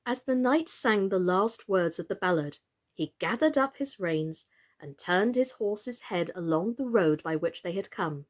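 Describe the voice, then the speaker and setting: A British woman narrating a children's story in an expressive, theatrical and deliberate storyteller's manner. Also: medium pitch. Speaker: storyteller, actress.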